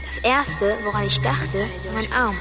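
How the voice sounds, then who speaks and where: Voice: medium pitch, a little slow, over loud music, close to an everyday voice. A performer playing a reflective young Afghan boy who tells his own story in simple, childlike radio drama narration.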